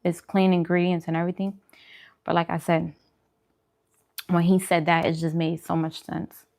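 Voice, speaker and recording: Casual, lower-pitched woman chatting. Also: little pitch movement, normal speed, gravelly, a little mellow. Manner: informal, conversational, repeats phrases for emphasis. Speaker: host of a food and vlog channel. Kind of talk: casual eating-show chat answering viewer questions.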